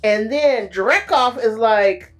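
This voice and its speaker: Animated, slangy woman recapping a movie. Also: on the high side, slow, faint music behind. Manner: animated, slangy storytelling with playful exclamations. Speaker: movie reviewer.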